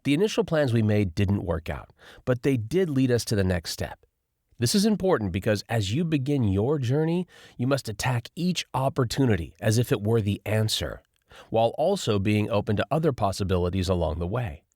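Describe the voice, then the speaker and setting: A man narrating a first-person nonfiction audiobook in an expressive, lively reading style. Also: on the low side, a little fast, dry recording, clean studio sound.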